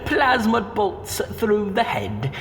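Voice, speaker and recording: A man's voice: sits high, wildly swooping pitch, takes it slowly, fairly bright tone, a little hiss or hum, full character voice. A man playing a gloating, sadistic villain who taunts and monologues theatrically in an audio drama. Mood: sadistic glee. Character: gloating, sadistic male villain with death traps. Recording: long reverb, music underneath.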